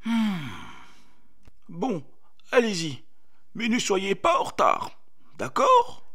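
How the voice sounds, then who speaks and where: A man's voice: sits high, huge pitch swings, slow pace, bright, forceful tone, voice pushed up, exaggerated voice. A man voicing a grumbling but kindly old fruit farmer in a children's storybook, with muttering and exclamations. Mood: gruff, kindly.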